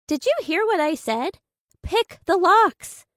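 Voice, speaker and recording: An excitable, pushy female friend in a thriller audiobook, acted out by a woman narrator. She is nosy and dramatic, exclaiming and questioning eagerly. Her pitch jumps up high, and the sound is dry. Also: slow pace, no room sound, full character voice. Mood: eager, incredulous. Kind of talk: audiobook character dialogue.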